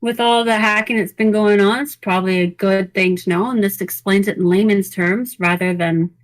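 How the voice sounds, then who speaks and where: A woman's voice: mid-range voice, fairly even intonation, normal speed. A woman co-hosting a podcast, running through news items in casual, conversational talk. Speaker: podcast co-host.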